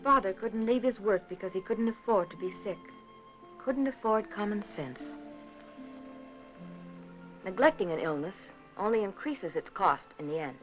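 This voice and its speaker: A woman delivering scripted 1950s documentary narration in an earnest, measured, rhetorical manner. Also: medium pitch, animated. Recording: dull 1950s film soundtrack, music behind. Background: background music.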